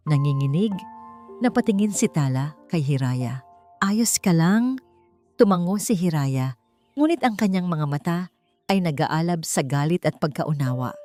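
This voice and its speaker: A woman's voice: fairly low pitch, a little mellow. A woman with a Filipino accent reading a scripted, dramatic story as its narrator.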